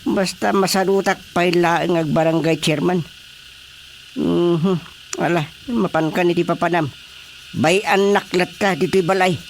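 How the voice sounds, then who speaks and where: A man's voice: sits high, steady tone, measured pace, gravelly. An actor playing a grumbling, bossy older male village chairman in a radio comedy drama. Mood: grumbling, dismissive.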